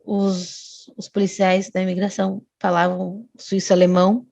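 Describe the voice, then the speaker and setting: A woman's voice: medium pitch, flat delivery, a little slow, gravelly, a little mellow, no room sound, dull audio. A woman, a guest telling a long personal story in casual chat, often restarting and adding tag questions. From Brazil.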